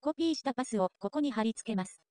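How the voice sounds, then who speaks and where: A woman reading scripted narration for a software tutorial. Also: on the high side, expressive, very fast, dry recording.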